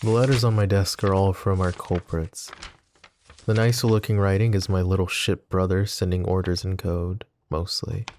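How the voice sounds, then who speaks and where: A man voicing a possessive, protective mafia boss in an ASMR roleplay, talking in a calm, confiding way with casual swearing. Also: low voice, moderate pace, slight background noise. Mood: brooding. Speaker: voice actor.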